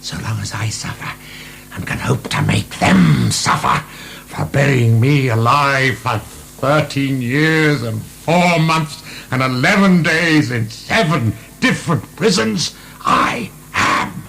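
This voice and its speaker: An actor playing a proud, defiant, mocking French marquis in prison, declamatory and sardonic, in a radio drama. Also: male voice, mid-range voice, expressive, takes it slowly, vocal fry, bright, forceful tone, slight background noise, heavily acted. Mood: defiant, anguished.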